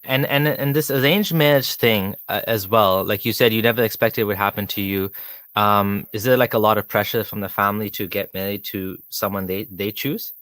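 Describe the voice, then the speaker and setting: A man's voice: on the low side, fairly even intonation, brisk, fairly bright tone, dry recording. Man hosting in a close, dry sound. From Kenya. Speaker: interviewer, show host. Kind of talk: casual livestream interview.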